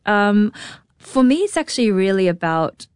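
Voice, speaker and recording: A New Zealand woman in her thirties, a conductor talking in an interview, earnest and searching, often thinking out loud in questions. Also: medium pitch, very animated, moderate pace, smooth, dry recording, clean studio sound. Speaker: guest, orchestra conductor. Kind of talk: reflective interview conversation.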